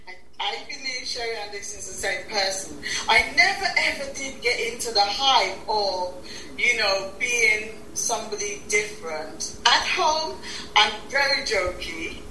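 A woman's voice: a little high, lively intonation, a little slow, sharp tone, reverberant, recorded from a distance, a little hiss or hum, rough recording. A woman in her sixties, from Guyana, speaking reflectively as a guest in an interview and often repeating words as she starts a thought. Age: 60s. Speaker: talk show host, interview guest.

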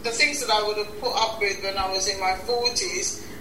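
A woman's voice: medium pitch, moderate pace, sharp tone, a little hiss or hum. Roomy, far-off woman in her sixties from Guyana. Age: 60s. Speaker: talk show host, interview guest. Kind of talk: reflective interview talk.

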